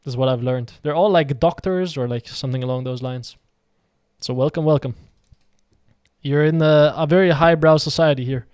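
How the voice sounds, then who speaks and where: A man's voice: fairly high pitch, normal speed, a little mellow, smooth, no echo, studio quality. A man from Denmark chatting casually while streaming a game, rambling and answering his viewers' messages. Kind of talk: casual live game commentary.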